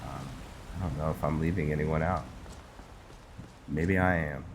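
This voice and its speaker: A man's voice: very deep voice, fairly even intonation, unhurried, a little hiss or hum. A man giving casual, meandering gameplay commentary, hesitating as he talks to himself and his viewers. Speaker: gamer, host of a roleplay series. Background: video game music.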